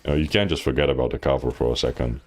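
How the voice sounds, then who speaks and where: A man's voice: a bass voice, racing. A man coaching on a live stream, reviewing gameplay bluntly with lots of fillers. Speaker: game coach.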